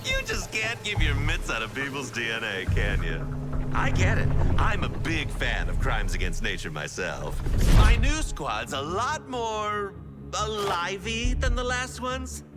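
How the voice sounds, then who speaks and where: Game villain: gleeful theatrical clown, drawn-out mocking delivery, big music bed. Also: male voice, a little high, sing-song. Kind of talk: video game cutscene acting.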